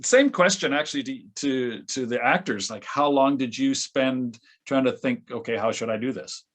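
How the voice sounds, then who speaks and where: A man talking casually in a group discussion as a producer, in a hesitant, halting way. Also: medium pitch. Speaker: radio play producer and sound editor.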